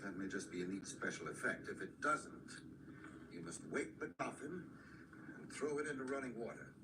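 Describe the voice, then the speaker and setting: Actor as grave older scholar, distant noisy radio drama. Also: male voice, mid-range voice, expressive, close to an everyday voice. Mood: solemn, instructive. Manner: solemn, deliberate, didactic. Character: grave older scholarly man instructing vampire hunters.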